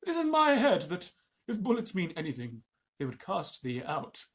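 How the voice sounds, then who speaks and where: A storyteller voicing a calm, wise old male wolf who speaks in a measured way. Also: mid-range voice, voice pushed up. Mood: composed. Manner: composed, measured.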